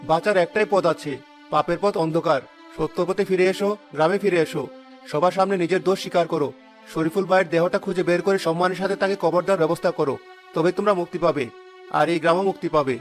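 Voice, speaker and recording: A man's voice: high-pitched, fairly even intonation, quick pace, faint music behind, lightly acted. A man playing an earnest young stranger seeking justice in a cartoon, persuasive and moralizing. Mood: solemn, righteous. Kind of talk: cartoon voice acting.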